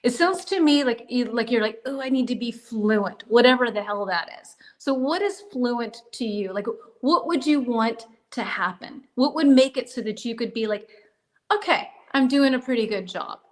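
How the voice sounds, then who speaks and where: A woman's voice: on the high side, lively intonation, moderate pace. A woman hosting as an English teacher, upbeat and encouraging with her guest. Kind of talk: encouraging interview chat.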